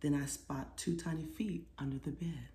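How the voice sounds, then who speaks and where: A middle-aged woman reading a children's storybook aloud expressively, pausing to put questions to her young listeners. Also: deep voice, steady tone, mellow. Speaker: children's author reading her own book. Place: small living room, seated on a sofa. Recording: roomy indoor echo.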